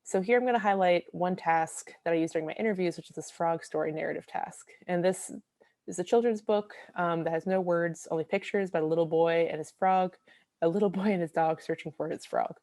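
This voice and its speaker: Young woman researcher, lowish mellow voice, careful explanatory slide talk, dry sound. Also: fairly quick, no room sound. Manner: explanatory, careful, with frequent ums. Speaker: graduate researcher presenting.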